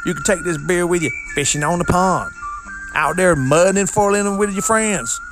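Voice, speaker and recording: Man, high voice, brash macho ad read, music behind. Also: normal speed. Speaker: parody ad announcer. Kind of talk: mock beer commercial.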